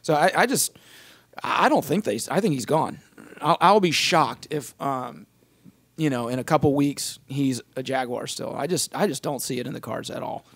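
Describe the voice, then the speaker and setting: Host with a voice still recovering. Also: male voice, mid-range voice, vocal fry, dry recording. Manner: leads the talk, quick bursts of questions. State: voice recovering from illness. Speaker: podcast host. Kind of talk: casual sports podcast chat.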